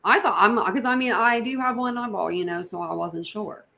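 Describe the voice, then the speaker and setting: Female livestream host, medium pitch, casual reaction chat, rambling and repetitive, addressing viewers directly. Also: normal speed, vocal fry, pushed and bright.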